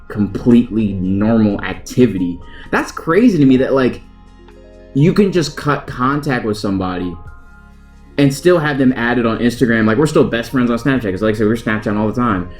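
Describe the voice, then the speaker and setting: A man's voice: mid-range voice, darkish tone. A young man telling a story in a casual, conversational, self-deprecating vlog style with slangy phrasing. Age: young adult. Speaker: vlogger.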